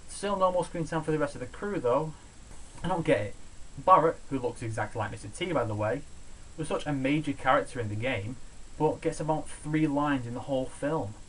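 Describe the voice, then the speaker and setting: A man's voice: medium pitch, a little fast, a bit bright, low-quality recording. Man doing countdown commentary over hiss. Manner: opinionated, casual, sweary. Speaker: host of a video review show. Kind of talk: scripted countdown commentary on films.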